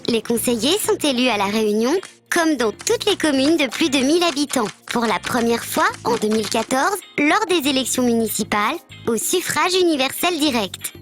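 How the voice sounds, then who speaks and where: High-pitched bird mascot teacher voiced by a woman. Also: expressive, normal speed, a bit bright, soft background music. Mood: instructive, friendly. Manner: didactic, clearly structured explaining. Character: friendly tec-tec bird mascot teaching civics. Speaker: presenter of an educational programme. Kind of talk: scripted educational narration.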